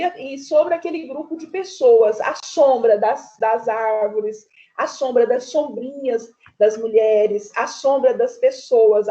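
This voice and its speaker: A woman's voice: sits high, a little mellow. Chatty Brazilian woman teaching art. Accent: Brazilian Portuguese. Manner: chatty, repeats "tá?" to check in with students. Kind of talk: online class, explaining paintings. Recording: dull lo-fi online class audio.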